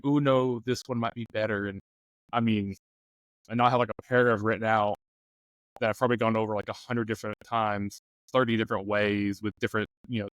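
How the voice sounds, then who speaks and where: Smooth-voiced man giving casual advice. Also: on the low side, dry recording, poor audio. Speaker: game master giving advice as show panelist.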